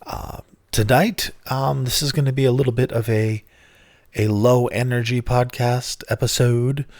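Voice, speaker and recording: A middle-aged man hosting his own podcast in casual, grumpy, self-mocking talk, his voice hoarse and lower than usual from bronchitis. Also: fairly even intonation, a little slow, darkish tone, dry recording, studio quality. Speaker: podcast host.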